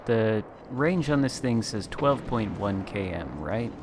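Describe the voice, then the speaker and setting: A man chatting casually as a guest while playing a naval battle game, talking in a smooth late-night radio voice. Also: fairly low pitch, expressive, takes it slowly, slight background noise. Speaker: guest content creator. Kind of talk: casual gameplay chat.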